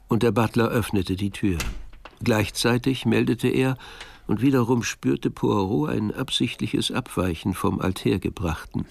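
A man's voice: lowish voice, creaky. A man narrating a radio drama in a scripted, literary storytelling style. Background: music.

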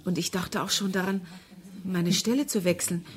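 A woman's voice: fairly low pitch, fairly even intonation, normal speed, lightly acted. An actress playing a lonely, trusting woman in a radio drama, speaking tenderly, earnestly and hesitantly. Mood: earnest, hopeful. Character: lonely, devoted, trusting woman visiting a prisoner. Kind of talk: German radio drama acting.

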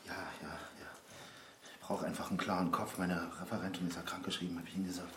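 An actor playing a stressed, evasive politician who pleads haltingly and apologetically in a naturalistic radio drama. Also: male voice, low-pitched, level tone, a bit bright. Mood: flustered, apologetic. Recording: roomy with clear background noise.

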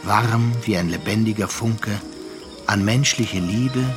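An actor playing a composed, reflective storyteller, narrating a radio play in measured literary phrases. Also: male voice, lowish voice. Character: composed, reflective male storyteller recalling a friend. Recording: music under the voice.

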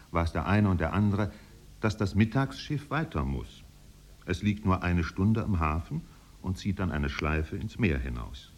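An actor narrating a 1950s German radio play as a solemn, contemplative storyteller with measured, poetic delivery. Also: male voice, rumbling low, darkish tone, husky, full character voice. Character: solemn, contemplative male storyteller of island exiles. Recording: faintly noisy 1950s radio recording.

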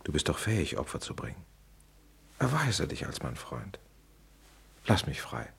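A man playing a weary, lovestruck writer in a stage drama, slow and musing, then pleading. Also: deep voice, expressive, slightly rough, exaggerated voice. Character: weary famous writer, smitten man, Boris.

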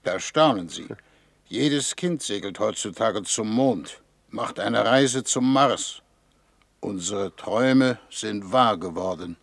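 Slow, creaky, wry statesman. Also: lowish voice, animated, exaggerated voice. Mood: wry, leisurely. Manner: dry, wry, leisurely. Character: genial, dryly ironic foreign minister, reluctant space traveller. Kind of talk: 1950s German radio play acting. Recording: noisy vintage 1950s radio recording.

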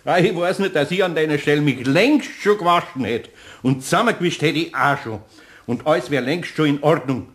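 A man's voice: on the high side, brisk, a bit bright, lightly acted. A man with a Bavarian accent playing a teasing, unruffled, slightly grumbly master craftsman in a children's radio play, speaking drily. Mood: sleepy, smug.

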